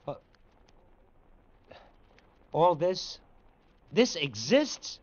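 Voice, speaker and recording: An actor playing a bewildered human pilot in an old radio drama, halting and incredulous as he questions. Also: on the high side, sing-song, no echo, exaggerated voice. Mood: stunned disbelief. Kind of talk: 1950s radio science fiction drama acting. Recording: dull old radio recording.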